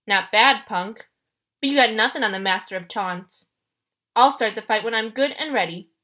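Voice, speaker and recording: A woman voicing a bullying male god of war in a storybook reading, gruff and taunting. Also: on the high side, moderate pace, bright, forceful tone. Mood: menacing.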